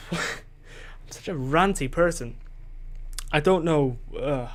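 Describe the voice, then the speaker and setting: Rambling young man, higher voice. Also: takes it slowly. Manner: rambling and self-questioning, loses his train of thought; casual swearing. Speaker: solo podcaster. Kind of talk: rambling solo podcast chat. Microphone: desk microphone.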